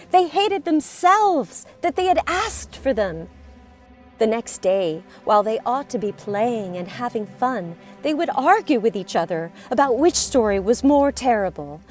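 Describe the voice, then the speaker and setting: Slow, theatrical woman storyteller. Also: a little high. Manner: deliberate, theatrical delivery with dramatic pauses and emphasis. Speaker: podcast storyteller. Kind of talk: dramatic scripted storytelling narration.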